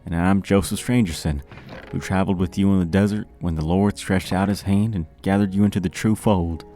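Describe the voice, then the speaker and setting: A man's voice: low-pitched, steady tone, brisk, mellow, soft background music, pitched above the performer's own voice, natural voice. A man voicing a pompous, self-righteous young zealot who talks in a preachy way.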